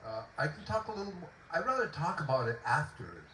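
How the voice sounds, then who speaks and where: A man's voice: on the high side, big pitch swings, sharp tone. A man from Puerto Rico with a Puerto Rican Spanish accent, speaking hesitantly to a concert audience. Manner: hesitant, halting, with many fillers. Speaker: composer and pianist. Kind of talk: informal spoken talk to an audience. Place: outdoor garden concert. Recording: distant echoey outdoor recording with noise.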